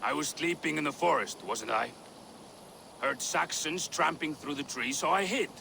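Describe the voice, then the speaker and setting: Old Viking warrior: grumbling, crude, clipped, higher-set gravelly voice, faint noise behind. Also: male voice, moderate pace, bright, forceful tone, heavily acted. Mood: stubborn, defensive. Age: older. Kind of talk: video game cutscene acting.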